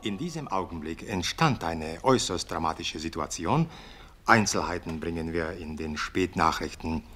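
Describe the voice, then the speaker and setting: An actor playing a formal, emphatic radio newsreader reporting on a conference in a radio play. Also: male voice, sits low, animated, moderate pace, a bit bright, breathy edge, heavily acted. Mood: dramatic reporting. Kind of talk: scripted news bulletin within radio play.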